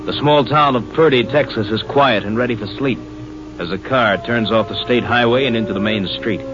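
A man's voice: mid-range voice, normal speed. A man with an American accent giving scripted scene-setting narration for a 1950s radio drama. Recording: muffled old radio recording. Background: music.

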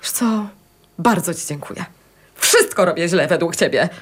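A woman's voice: on the low side, animated, normal speed. An actress playing an anxious, defensive, stubborn middle-aged wife and mother in a naturalistic radio drama, answering in curt, indignant retorts. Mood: hurt, indignant.

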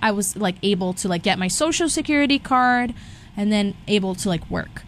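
A woman's voice: medium pitch, animated, fairly quick. Young woman, Brazil-raised, sounds Southern Californian, chatty rambling guest, faint background hiss. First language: Portuguese and Spanish. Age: young adult. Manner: rambling, many restarts and fillers. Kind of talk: casual interview chat.

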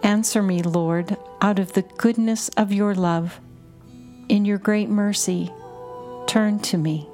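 A woman with a mid-range voice reads as a prayer guide, very unhurried and deliberate. Her phrases come short and measured, in a contemplative devotional style. Music plays beneath her voice. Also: medium pitch, steady tone, a little mellow. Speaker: prayer guide and podcast host. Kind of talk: slow, repetitive guided contemplative prayer reading. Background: music under the voice.

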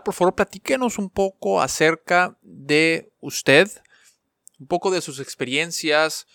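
A man's voice: a little high, a bit bright, clean tone. A man hosting a podcast interview in a formal, courteous manner. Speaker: podcast host.

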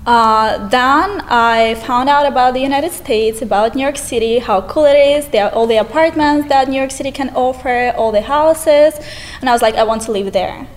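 Young Russian-accented woman, cheerful. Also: sits high. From Eastern Europe. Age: 20s. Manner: cheerful, laughs often, brisk. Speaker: guest, real estate agent. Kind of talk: casual interview chat.

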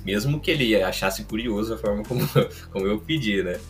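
A Brazilian man co-hosting a podcast, chatting casually and relaxed, with light laughs and lots of fillers. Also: on the low side, a little fast, soft background music. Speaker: podcast co-host.

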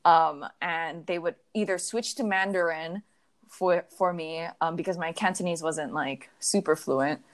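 Young woman, kinda low voice, chatty storytelling, lots of ums. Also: measured pace. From United States. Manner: reflective, storytelling, many fillers. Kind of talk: casual conversation.

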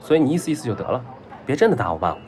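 A man's voice: medium pitch, very animated, rapid-fire, a little mellow, soft background music, some chatter behind, lightly acted. An actor playing a lovestruck, spoiled young rich man in an audio drama, clingy and naive, with an eager, whiny, childishly earnest way of talking. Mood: eager, chatty. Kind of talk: Chinese audio drama acting.

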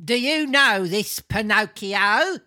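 A woman voicing a chatty, high-energy old man in a storybook, with a campy, stop-and-start delivery. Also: expressive, slow, bright, forceful tone. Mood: inquisitive.

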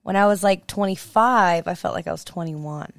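A woman's voice: on the low side, normal speed, creaky, dry recording, clean studio sound. A woman in her twenties co-hosting a podcast, teasing and playful in casual chat. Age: 20s. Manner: teasing, playful, laughs easily. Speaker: podcast co-host.

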